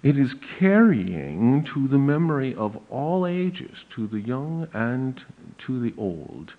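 A pastor with a big voice, giving a slow, deliberate lecture on church hymns with emphatic rises. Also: male voice, mid-range voice, big pitch swings. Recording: narrow phone-like audio.

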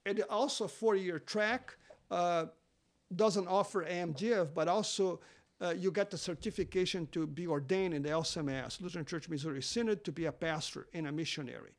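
High-voiced man, not a native English speaker. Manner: halting, with many fillers and restarts. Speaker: seminary teacher. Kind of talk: podcast interview, guest.

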